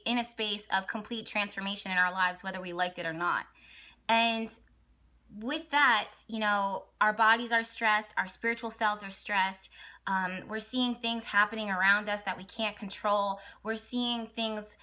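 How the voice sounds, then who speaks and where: This is a young adult woman talking casually to her viewers about the week's stars. Her voice has a bright, forward edge, but it is hoarse and raspy from overuse and breaks now and then. She talks in an excited, scattered way, full of fillers and false starts, at a middling pitch. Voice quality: raspy, voice cracks at times. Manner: rambling, excited, many fillers and restarts. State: hoarse, partly lost voice. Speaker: astrology vlogger and channel host. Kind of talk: casual astrology talk to camera.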